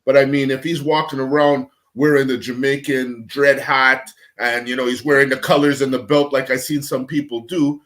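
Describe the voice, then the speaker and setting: A man's voice: medium pitch, flat delivery, moderate pace, hardly any pauses, fairly bright tone. A man in a casual panel debate, talking bluntly and profanely and telling anecdotes.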